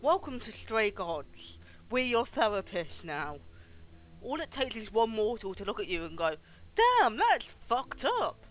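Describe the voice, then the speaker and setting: Male game streamer: theatrical, sweary commentary, widely swooping pitch, unhurried pace. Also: big pitch swings, fairly bright tone. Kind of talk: livestream playthrough commentary.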